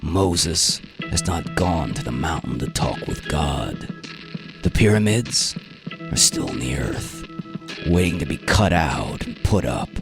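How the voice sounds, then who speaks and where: Man voicing a stern, very deep safari leader. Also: expressive, takes it slowly, over music, full character voice. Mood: grave, commanding. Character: stern, commanding male safari leader, cautionary and blunt. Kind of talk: radio drama character reading.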